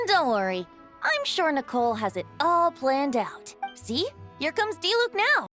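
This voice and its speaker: Young game adventurer, fairly high voice, lively pitch, easygoing, wry, faint music. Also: female voice, measured pace, heavily acted. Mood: reassuring. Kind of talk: video game character acting.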